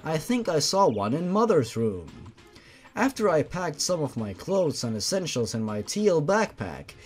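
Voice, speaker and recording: A man's voice: fairly high pitch, very animated, measured pace. A man reading a horror fan fiction story aloud with theatrical, exaggerated emphasis. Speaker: narrator. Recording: music behind the voice. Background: music.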